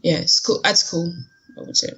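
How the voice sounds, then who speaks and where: A woman's voice: normal speed, bright, forceful tone. A woman from Guadeloupe with a French accent, answering interview questions casually and searching for her words. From Guadeloupe, French West Indies. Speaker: interview guest. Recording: dull lo-fi sound.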